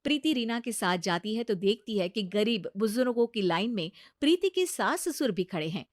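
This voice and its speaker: A woman's voice: medium pitch, animated, moderate pace, dry recording, studio quality. A woman reading a scripted story as its narrator.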